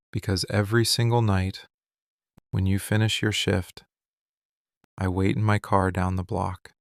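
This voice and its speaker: A low, gravelly mafia boss, acted out by a man, possessive and lovesick, in an intimate boyfriend ASMR roleplay. He talks in short, deliberate phrases with weary intensity and barely moves off one note. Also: dry recording, clean studio sound.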